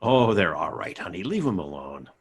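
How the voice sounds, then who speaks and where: A man's voice: low-pitched, very animated, normal speed, husky, full character voice. An actor playing a genial, easygoing middle-aged father in a family radio drama.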